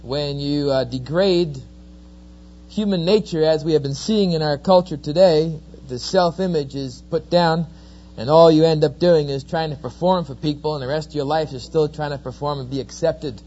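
Middle-aged jersey guy preaching to students, jokey storyteller, kinda high voice, muffled old tape. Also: moderate pace, a little hiss or hum. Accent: New Jersey. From New Jersey, USA. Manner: folksy, joking, lively storyteller. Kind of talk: sermon-style storytelling talk. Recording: dull lo-fi recording.

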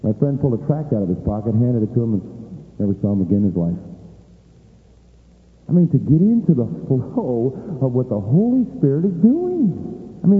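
A man preaching a sermon with emphatic rhetorical questions, recorded in a church. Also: on the high side. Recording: echoey hall on a tinny line.

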